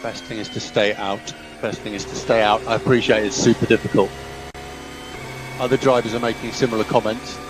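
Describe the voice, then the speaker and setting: A man's voice: on the low side, huge pitch swings, fairly quick. A man working as a race engineer, giving clipped, repeated instructions over motorsport team radio. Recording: noisy car team radio.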